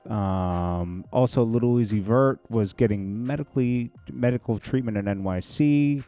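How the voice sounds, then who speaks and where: Male gossip host, low register, blunt and slangy opinion delivery, light music bed. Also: mellow. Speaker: show host. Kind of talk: casual opinionated gossip commentary.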